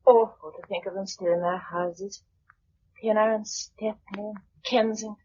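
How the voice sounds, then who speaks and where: A woman's voice: medium pitch, steady tone, unhurried, mellow, close to an everyday voice. Actress playing game wry british girl in a radio drama, apologetic but plucky, dull sound with faint noise. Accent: British English.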